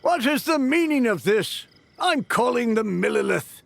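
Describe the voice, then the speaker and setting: Flustered, high-pitched, creaky struggling writer. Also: male voice, lively intonation, unhurried, fairly bright tone, a little hiss or hum, full character voice. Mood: indignant. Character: flustered, self-deprecating, fussy aspiring male novelist. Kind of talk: animated game voice acting.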